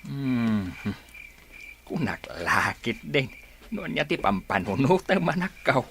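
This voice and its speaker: A man plays a gentle old village father in a radio drama. His slow, tender speech rises and falls widely with fatherly resignation, over clear background noise. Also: medium pitch, sing-song, exaggerated voice. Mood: caring, resigned.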